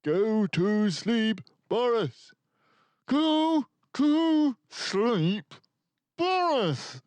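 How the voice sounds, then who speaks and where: A man's voice: very high-pitched, very animated, very slow, darkish tone, smooth, no room sound, voice pushed up, exaggerated voice. A man voicing weary, firm badger parents in a storybook, calling out insistently to their cub. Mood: exasperated, insistent.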